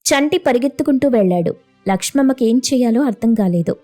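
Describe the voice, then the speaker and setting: A woman's voice: a little high, expressive, fairly quick, warm tone, faint music behind. A woman narrating a scripted moral story as a storyteller.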